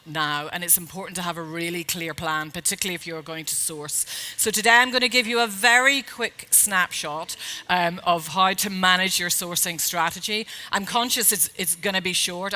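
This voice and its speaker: A middle-aged woman consultant giving a chatty conference talk in a loud, projecting voice, with frequent fillers and self-corrections. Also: fairly low pitch. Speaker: retail consultant speaker. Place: event hall.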